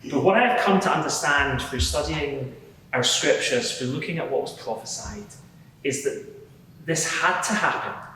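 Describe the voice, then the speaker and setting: In a big reverberant hall, heard from a distance, a man plays an earnest converted Pharisee on the witness stand. He explains his change of heart in a halting, sincere way, at a middling pitch. It is live courtroom drama with faint noise. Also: expressive, sharp tone. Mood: earnest, reflective. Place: large reverberant courtroom before a live gathering.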